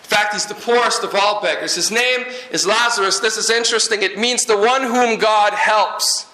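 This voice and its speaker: Man from Guyana, sermon, high bright voice, lively joking delivery, echoey church. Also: moderate pace. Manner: lively, humorous preaching with asides. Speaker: pastor.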